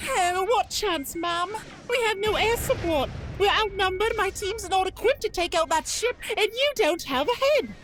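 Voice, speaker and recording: A woman's voice: very high voice, animated, normal speed, hardly any pauses, a bit bright. A woman playing a plain-spoken, exasperated young female lieutenant in a science fiction audio drama, blunt in manner. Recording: faint background noise.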